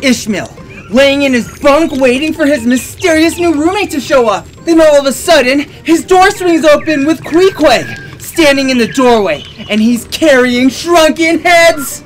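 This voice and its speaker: A woman's voice: high-pitched, lively intonation, measured pace. A woman voicing a small, sweet, earnest male cartoon creature with an eager, boyish manner in a fan comic dub. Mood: eager storytelling. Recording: music under the voice.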